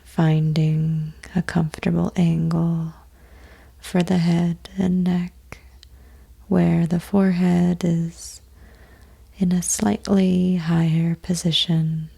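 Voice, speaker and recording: A woman leading a guided yoga nidra meditation in a soft, breathy near-whisper, speaking gently in short phrases with long pauses. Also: fairly low pitch, little pitch movement. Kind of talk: slow guided meditation, soothing instruction.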